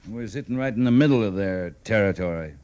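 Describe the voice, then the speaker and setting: An actor playing a firm, dry-humored cavalry captain in an old-time radio western, plain-spoken and clipped. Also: male voice, lowish voice, fast, mellow, smooth, close to an everyday voice. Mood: respectful, wry.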